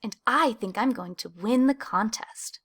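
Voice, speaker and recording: An eager young field mouse from a children's storybook, voiced by a woman, speaks in a high, friendly voice. His lines are emphatic and expressive. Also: unhurried. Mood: proud.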